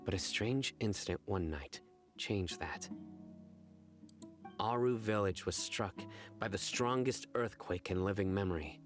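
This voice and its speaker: An actor playing a serious, measured young male investigator in a video game, speaking formally and deliberately. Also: low voice. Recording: plucked-string music behind the voice.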